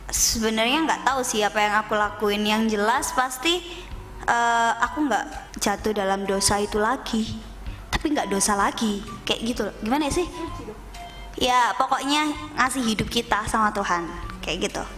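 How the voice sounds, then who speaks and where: Bright-voiced young woman, echoey room. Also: fairly high pitch, normal speed, faint music behind. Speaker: youth service host. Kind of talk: casual church interview answers.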